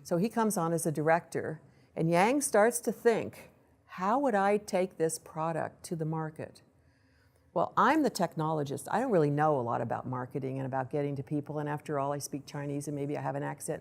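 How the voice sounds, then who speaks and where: A woman presenting a startup case study to an audience in a lively storytelling way, acting out what people said. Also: low-pitched, brisk, vocal fry. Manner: lively storytelling, acts out quoted voices. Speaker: accelerator program director giving a presentation.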